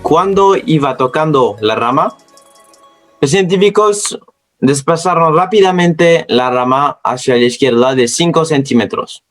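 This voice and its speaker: A man with a non-native accent, speaking a second language as a student presenting an educational narration in deliberate, slightly halting phrases. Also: on the high side, faint music behind. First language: not Spanish, speaks it as a second language.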